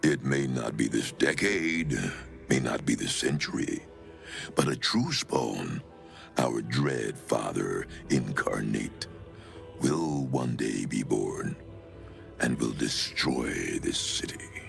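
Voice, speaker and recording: An actor playing a grim, murderous undead cult elder in a fantasy game, with solemn, archaic pronouncements. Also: male voice, slow pace, full character voice. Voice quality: very deep, gravelly. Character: dread undead male cultist elder, grim, murderous zealot. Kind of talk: dramatic fantasy game acting. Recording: music behind the voice.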